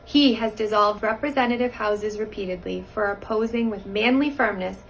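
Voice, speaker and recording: Creaky-voiced woman reading aloud. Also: mid-range voice, normal speed, poor audio. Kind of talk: reading a historical document aloud. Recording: faint background noise.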